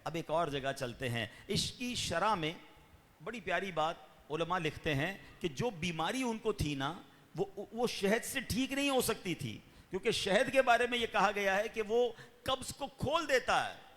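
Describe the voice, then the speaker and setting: A man preaching a sermon, fervent, with raised peaks and slow drawn-out chanting. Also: sits high, lively intonation, fairly bright tone. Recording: long hall reverb.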